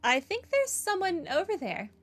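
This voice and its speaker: A woman's voice: very high-pitched, sing-song, normal speed. A woman giving casual, playful commentary as she plays a video game, reacting to it as she goes. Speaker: gamer recording a playthrough. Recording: game music behind.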